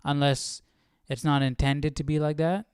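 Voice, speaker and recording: A man's voice: on the high side, flat delivery, normal speed, darkish tone, clear, resonant tone, dry recording, studio quality. A man hosting a Bible study stream, chatting casually and musing with questions as he thinks aloud. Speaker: livestream host of a Bible study.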